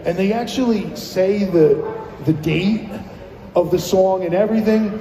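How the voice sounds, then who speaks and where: A man from New Jersey, a guest musician, telling stories in a casual radio interview, rambling and animated with many fillers, in an echoey live room. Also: high-pitched, unhurried. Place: live broadcast at a plaza venue.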